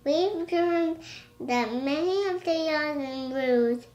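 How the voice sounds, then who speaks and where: High-pitched young girl reading slowly. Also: darkish tone, dull audio. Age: child. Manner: hesitant, stumbling over words, repeating phrases. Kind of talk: halting read-aloud from a storybook. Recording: roomy echo with clear background noise.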